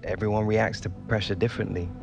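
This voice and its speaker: A laid-back young footballer, low voice. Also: male voice, fairly even intonation, fast talker, gravelly, over music. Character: young male footballer, easygoing and cheeky. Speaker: main character of a football game story. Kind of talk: video game cutscene dialogue.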